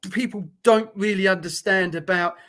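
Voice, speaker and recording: A man's voice: sits high, normal speed, pushed and bright. A middle-aged man from Britain, a former soldier talking frankly as a guest on a video call, with plenty of fillers and restarts. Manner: blunt, frank talk with many fillers and restarts. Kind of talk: conversational interview account.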